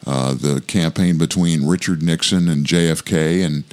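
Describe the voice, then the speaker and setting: Older man with a big, very low voice. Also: darkish tone. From South Louisiana, US. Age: 60s. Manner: measured, reflective delivery. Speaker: commentary show host. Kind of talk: scripted political commentary monologue.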